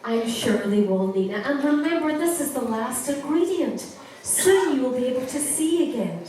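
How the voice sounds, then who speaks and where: Woman playing a measured pantomime captain, very echoey. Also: sits high, animated, mellow, a little hiss or hum. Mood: reassuring. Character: kindly, commanding young ship captain Lorenzo, pantomime hero. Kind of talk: scripted pantomime stage acting. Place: theatre stage. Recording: distant, very echoey hall recording.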